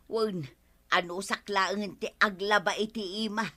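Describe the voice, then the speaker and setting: A woman's voice: mid-range voice, steady tone, a little slow, sharp tone. A woman playing a guilty village treasurer in an Ilocano radio comedy, pleading and making excuses in an Ilocano accent. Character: guilty woman treasurer who gambled funds.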